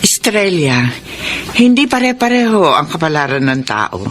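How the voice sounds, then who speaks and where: An actress with a Filipino accent playing a gentle, concerned middle-aged aunt giving counsel in a radio melodrama. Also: female voice, deep voice, big pitch swings, normal speed, a little hiss or hum.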